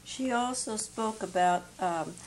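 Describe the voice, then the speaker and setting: A woman's voice: mid-range voice, slow, slight background noise, low-quality recording. An older woman on a studio panel sharing practical home cooking tips in a chatty, homely way. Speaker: panel guest. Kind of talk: conversational studio panel chat. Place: TV studio set, indoors.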